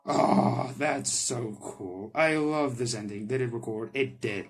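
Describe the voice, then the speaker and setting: A man's voice: mid-range voice, slow pace. Man reading a game aloud over music. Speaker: gaming video maker. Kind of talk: visual novel read-aloud and casual commentary.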